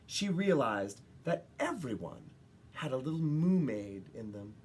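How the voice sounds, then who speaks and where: A woman reads a children's picture book aloud in an expressive way, with dramatic pauses and emphasis for young listeners. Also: a bass voice, slight background noise. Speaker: children's story reader.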